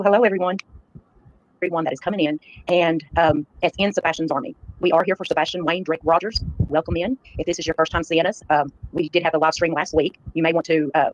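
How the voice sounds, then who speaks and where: A woman hosting a livestream, addressing her viewers in an earnest, halting way. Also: lowish voice, steady tone. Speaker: live stream host. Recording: dull, lo-fi livestream playback.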